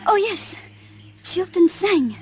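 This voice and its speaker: A woman's voice: extremely high pitch, lively intonation, slow pace, darkish tone, heavily acted. An actress playing an earnest, plaintive French woman, a stranded birdwatcher, with a French accent in a video game cutscene. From France. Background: music.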